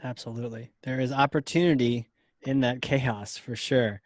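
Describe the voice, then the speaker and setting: A man's voice: medium pitch, steady tone, normal speed, no room sound, clean studio sound. A man hosting an interview podcast, asking questions with friendly, joking banter. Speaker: podcast host. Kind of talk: casual interview chat.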